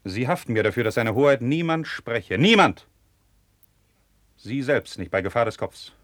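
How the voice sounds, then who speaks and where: A man's voice: medium pitch, animated, moderate pace, dry recording, lightly acted. An actor playing a resolute, commanding nobleman in a declamatory 1950s German classical radio drama style. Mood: stern. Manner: declamatory, imperious, forceful. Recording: dry 1950s radio studio recording.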